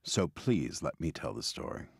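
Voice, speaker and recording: A man narrating and hosting an old-style radio drama, dropping into dramatic hushes. His voice is deep and husky yet lively in its rises and falls. The sound is dry and close. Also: moderate pace, no echo. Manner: dramatic, hushed storyteller delivery. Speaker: narrator and host.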